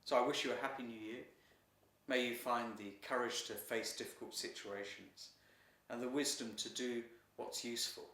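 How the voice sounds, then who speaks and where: A man reading a children's story in an expressive, deliberate narrating style. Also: medium pitch. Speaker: author reading own story. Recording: roomy echo, distant mic.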